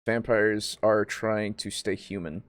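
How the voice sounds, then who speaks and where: A man hosting a podcast in casual group discussion, animated and swearing freely. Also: lowish voice, slow, no echo. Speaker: podcast host.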